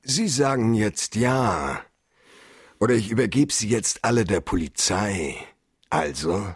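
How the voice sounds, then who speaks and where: An actor playing a tough, cynical private detective in a radio crime drama, talking in a blunt, cool, slangy way. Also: male voice, fairly low pitch, lively intonation, unhurried, slightly rough, dry recording, studio quality, full character voice. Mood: commanding.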